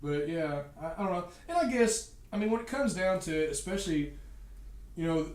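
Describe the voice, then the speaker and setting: A man's voice: high voice, expressive, a little fast, a little mellow. Hesitant man in an echoey room. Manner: hesitant, many fillers and restarts. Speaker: podcast co-host. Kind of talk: casual wrestling chat. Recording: echoey room, distant mic.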